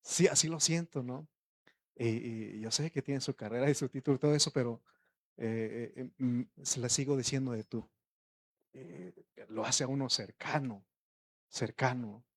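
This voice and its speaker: Conversational preacher, rhetorical questions. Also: male voice, medium pitch, normal speed, breathy edge, dry recording. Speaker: pastor. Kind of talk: informal sermon.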